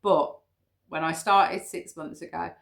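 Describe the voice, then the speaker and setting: A woman's voice: on the low side, steady tone, a little slow, creaky. A British woman in her fifties talking casually to camera, explaining her figures step by step with frequent ums. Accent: British English. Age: 50s. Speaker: weight-loss vlogger.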